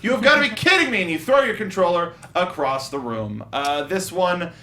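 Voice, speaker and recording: A man's voice: high-pitched, lively intonation, normal speed, sharp tone. A man co-hosting a casual film review chat, speaking informally with a wry, geeky fan's manner. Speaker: co-host of a movie review show. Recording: clear background noise.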